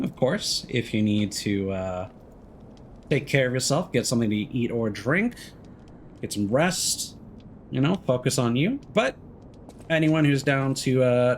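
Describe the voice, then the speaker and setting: A man doing casual let's play commentary with very varied intonation. He is friendly and informal as he talks to viewers, with faint game ambience behind his mid-pitched voice. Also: slight background noise. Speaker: livestreamer playing a video game.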